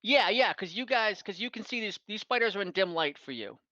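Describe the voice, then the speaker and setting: A man with a fairly high, very bright voice referees a tabletop game as game master. He talks casually and hesitantly, working through rulings aloud. The sound is close and dry, slightly dulled like online voice chat. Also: expressive, brisk, no room sound, muffled recording.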